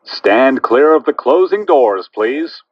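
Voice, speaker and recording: Slow, lively-pitched man announcer, muffled recording. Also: breathy edge, no echo. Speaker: automated subway train announcer. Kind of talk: pre-recorded transit announcements. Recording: dull lo-fi train announcement recording.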